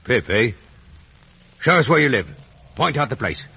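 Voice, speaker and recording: Very raspy, menacing convict. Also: male voice, medium pitch, big pitch swings, normal speed, exaggerated voice. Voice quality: rough, husky. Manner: barking, threatening commands. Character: menacing rough adult male convict threatening a boy. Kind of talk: 1950s radio drama acting. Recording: dull vintage radio recording.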